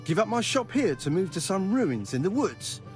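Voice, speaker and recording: A man playing a proud but cautious medieval swordsmith in a video game, with a husky voice. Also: a little high, big pitch swings, normal speed, faint music behind. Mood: incredulous. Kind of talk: video game dialogue acting.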